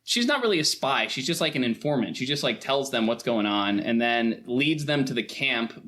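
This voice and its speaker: A man in a casual film discussion, explaining in a chatty, colloquial and halting way. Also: medium pitch, expressive, a bit bright. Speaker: podcast guest or co-host.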